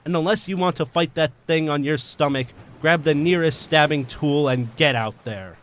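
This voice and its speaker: A man's voice: a little high, moderate pace, fairly bright tone, slight background noise, natural voice. A man playing a ruthless armed bank robber in an audio drama, speaking in a domineering, commanding, menacing way. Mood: barking orders.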